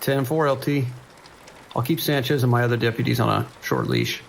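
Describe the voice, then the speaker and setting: A man playing a calm, wry sheriff's dispatcher with a dry, reassuring manner in an audio drama. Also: medium pitch, a little fast, gravelly, slight background noise. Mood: wry, attentive. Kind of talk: naturalistic audio drama acting.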